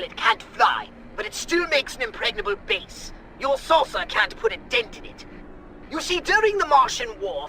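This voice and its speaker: A man playing an excitable, scheming, pompous alien commander in a video game, verbose and theatrical, prone to bursting into shouts. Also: very high-pitched, vocal fry, heavily acted, poor audio. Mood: lecturing. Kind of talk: animated video game acting.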